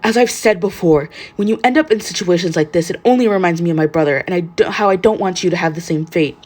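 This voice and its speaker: A woman's voice: fairly low pitch, fast talker, darkish tone, lightly acted. Woman doing uptight protective teen boy, formal n earnest, story dialogue. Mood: worried, earnest. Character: tense, protective, formal teenage boy classmate.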